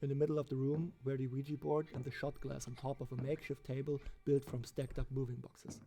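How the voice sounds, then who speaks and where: Gravelly male horror story narrator. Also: medium pitch, little pitch movement, fairly quick, music in the background. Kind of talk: scripted first-person horror narration.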